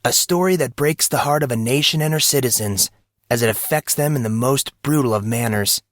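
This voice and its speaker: A man's voice: medium pitch, fairly quick, no echo, clean studio sound, voice pushed up, lightly acted. A man voicing a smug, theatrical teenage boy news anchor, delivering grandiose oratory as a mock broadcast in an audio story.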